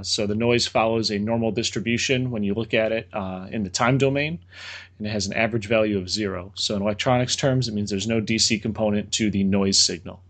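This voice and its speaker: A man with a fairly low voice explains engineering ideas as a podcast guest. He talks plainly and casually, slipping in dry jokes as he goes. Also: fairly bright tone. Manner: explanatory, dry joking asides. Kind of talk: explaining technical topics in casual chat.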